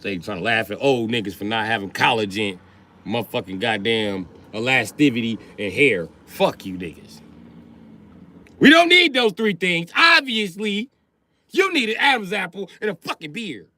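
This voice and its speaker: A man's voice: medium pitch, big pitch swings, normal speed, bright, forceful tone. A middle-aged man livestreaming a profane, boastful comedic rant.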